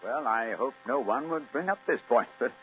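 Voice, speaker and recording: An actor playing a composed gentleman detective in an old-time radio drama, speaking with precise, courteous, analytical phrasing. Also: medium pitch, expressive, normal speed, soft background music, lightly acted. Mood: regretful, precise. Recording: dull vintage radio recording.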